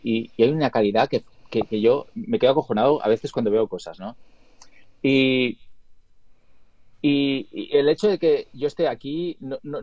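A man from Spain chats casually as an interview guest, rambling, restarting phrases and tagging his points with questions. His voice is mellow and relaxed. The sound is dull and dry, with no room around it. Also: medium pitch, no echo. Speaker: guest, video editor and colorist.

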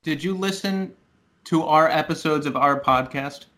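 A man's voice: fairly high pitch, unhurried, pushed and bright. A man co-hosting a podcast in casual chat, laid-back and joking, with a Philadelphia accent. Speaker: podcast co-host.

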